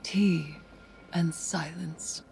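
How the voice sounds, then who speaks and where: A weary mystic woman delivers a solemn video game monologue. Her voice is low and very unhurried, with formal phrasing that trails into breathy whispers. There is noticeable noise behind her. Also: fairly even intonation, darkish tone, heavily acted, low-quality recording. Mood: weary, wry.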